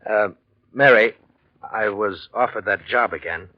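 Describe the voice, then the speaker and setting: An actor playing an earnest, polite, somewhat hesitant struggling painter and husband in a 1940s radio drama. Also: male voice, on the low side, a little slow, no room sound. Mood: tender, weary. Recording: dull lo-fi 1940s radio recording.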